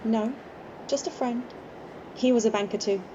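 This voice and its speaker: A woman playing a guarded, quiet homeless woman in her twenties in an amateur radio play, speaking tersely with understated wistfulness. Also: fairly high pitch, normal speed, lightly acted. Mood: wistful. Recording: dull, slightly noisy home recording.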